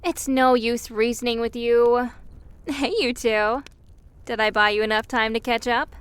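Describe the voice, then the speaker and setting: Clear, smooth-voiced, wry young woman, played by a woman. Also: on the high side, level tone, unhurried, dry recording, close to an everyday voice. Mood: wry, caring. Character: sensible, teasing young woman, caring toward friends. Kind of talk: interactive audio roleplay acting.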